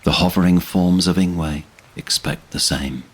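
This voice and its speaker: A man's voice: deep voice, steady tone, a little slow, slightly rough. A man giving solemn scripted narration for a fantasy audio drama. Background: orchestral string music.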